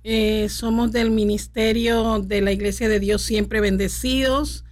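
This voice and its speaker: A middle-aged woman from Panama, a pastor speaking as a radio guest in a studio, fervent and brisk with hesitant fillers. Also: on the high side, little pitch movement, a little mellow.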